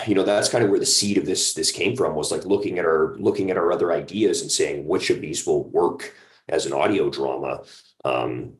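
Very deep-voiced man chatting in an interview. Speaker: guest, audio drama creator. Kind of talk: casual interview chat.

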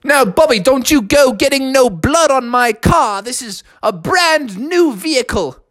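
A man's voice: very high voice, expressive, unhurried, a bit bright, no room sound, studio quality, pitched above the performer's own voice, exaggerated voice. A man voicing a fussy father who scolds in a folksy drawl. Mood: scolding. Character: fussy dad with a beat-up bus.

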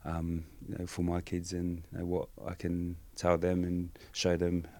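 A man from Samoa whose first language is Samoan, talking softly with many fillers as a guest on a podcast. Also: sits low, monotone, measured pace. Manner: soft-spoken, with many fillers. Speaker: guest, rugby league player. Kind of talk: casual podcast interview.

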